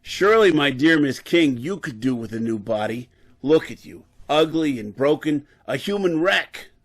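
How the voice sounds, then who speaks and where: A man's voice: fairly high pitch, expressive, moderate pace. A man playing an embittered, drunken, disgraced professor and brain surgeon in an old-time radio drama, lecturing and faltering over his words. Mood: intense, persuasive.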